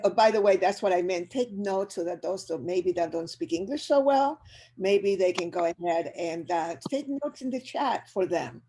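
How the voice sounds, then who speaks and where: An older woman with a Chilean Spanish accent, for whom English is a second language. She talks to her audience over a video call in an upbeat, motivational way, with a mellow, warm tone. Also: mid-range voice. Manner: energetic, upbeat, addresses audience directly. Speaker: business speaker. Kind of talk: motivational webinar talk.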